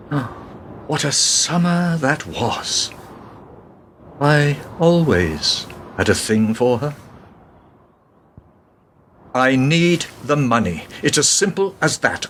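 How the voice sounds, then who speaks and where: Man acting as defensive traitor agent, radio play, aggrieved, emphatic, very lively intonation, noisy background. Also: mid-range voice. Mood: wistful, then desperate, resigned. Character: defensive, money-troubled double agent, a bitter family man.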